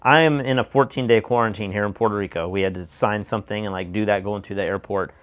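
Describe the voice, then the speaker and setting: An American man co-hosting a casual discussion show, speaking emphatically with a slightly raspy voice and throwing out rhetorical questions. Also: fairly low pitch, fairly even intonation, quick pace. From United States. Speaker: show co-host.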